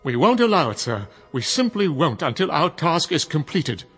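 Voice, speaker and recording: A man's voice: a little high, sing-song, moderate pace, fairly bright tone, husky, soft background music, exaggerated voice. A man playing a prim robot butler. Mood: defiant. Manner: polite, precise, deferential. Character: formal robot butler, male, dutiful and stubborn. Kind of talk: radio drama acting.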